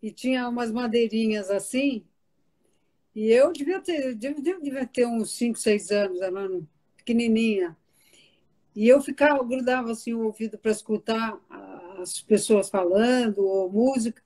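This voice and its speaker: Chatty older woman, Italian-born, higher voice. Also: moderate pace, dark tone, poor audio. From Italy. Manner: chatty, reminiscing, laughs often, trails off and repeats words. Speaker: guest author. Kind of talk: relaxed conversational interview.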